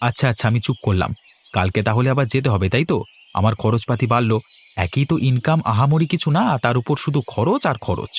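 A man's voice: medium pitch, fast, a little hiss or hum, lightly acted. A man voicing a greedy young son-in-law in a cartoon, grumbling and sarcastic.

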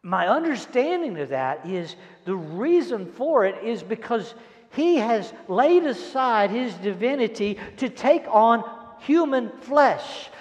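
An older man preaches a sermon and reads scripture with dramatic, emphatic delivery and deliberate pauses. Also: extremely high pitch, big pitch swings. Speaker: pastor. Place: large church auditorium stage, indoors. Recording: echoey hall, long reverb.

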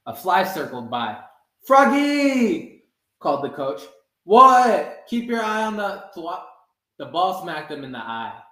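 A man in his twenties reading a children's story aloud, measured, calling out the characters' exclamations. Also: high-pitched, sing-song, sharp tone. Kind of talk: animated read-aloud storytime. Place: indoor room with blinds, sitting. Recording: roomy echo.

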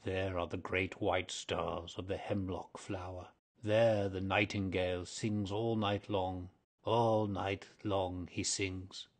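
A sorrowful, tired old ghost who has not slept for centuries, as a male actor plays him. The voice is husky and rather low. He drags each word out slowly. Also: fairly even intonation. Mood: dreamy. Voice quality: husky, slow and dreamy. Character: lonely weary old male ghost, melancholy and meek. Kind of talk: audiobook drama reading.

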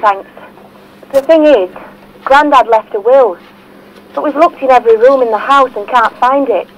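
A woman's voice: high-pitched, moderate pace, muffled recording, slight background noise, natural voice. An actress playing an earnest woman phoning a podcast for help in a scripted audio drama. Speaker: phone-in caller.

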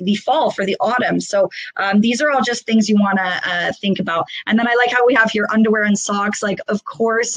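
A woman's voice: medium pitch, no room sound, rough recording. Canadian woman, webinar presenter; level delivery, dry close audio, casual filler-heavy talk. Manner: chatty, many fillers, calls listeners guys. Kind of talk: webinar slide walkthrough. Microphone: video call.

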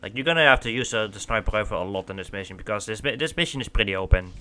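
A man's voice: fairly low pitch, very fast, sharp tone, a little hiss or hum. Dutch man rambling over gameplay. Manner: rambling, filler-heavy with many restarts. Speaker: gaming channel host. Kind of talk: casual let's play commentary.

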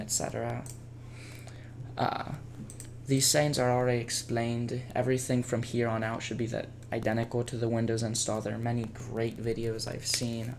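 A man presenting a casual step-by-step software tutorial as a screencast voice-over. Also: medium pitch, vocal fry. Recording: faint background noise and keyboard typing.

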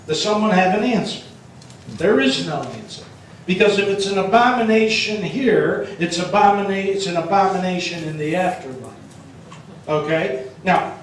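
A man's voice: high-pitched, a little hiss or hum. An older man preaching a sermon in a church sanctuary, emphatic and questioning the congregation, often tagging points with "okay?". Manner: emphatic, asks the room questions and answers them, ends points with "okay?"; moves around the stage and gestures while preaching. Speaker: pastor. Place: church sanctuary with large wall text. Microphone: room mic, speaker far from it. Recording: very echoey church from a distance.

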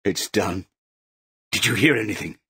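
An actor playing a haunted, guilt-stricken nobleman in a theatrical Shakespearean drama, husky, breathy, tense and halting. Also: on the low side, a bit bright, dry recording, studio quality. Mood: shaken.

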